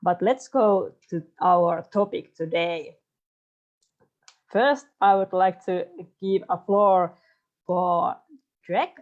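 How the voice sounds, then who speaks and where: A woman's voice: on the low side, takes it slowly, long pauses, darkish tone. A young woman in her twenties moderating a panel on a video call, polite and a little hesitant. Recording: dull, muffled call audio.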